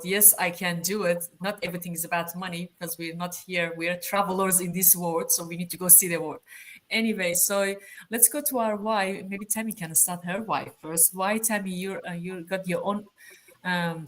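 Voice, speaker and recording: A woman living in Australia, moderating a live online panel with enthusiastic, filler-heavy talk. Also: fairly low pitch.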